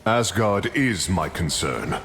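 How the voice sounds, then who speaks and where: An actor voicing a boisterous, proud Norse thunder god with grand archaic phrasing for a video game. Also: male voice, low voice, animated, slow, exaggerated voice. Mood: grave, lofty. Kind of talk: video game hero voice lines. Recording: long reverb, music behind.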